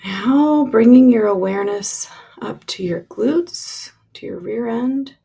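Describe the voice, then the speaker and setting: A woman leading a guided relaxation meditation with soft, lingering instructions that trail off into breathy releases, in a husky, creaky voice. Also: a little high, slow.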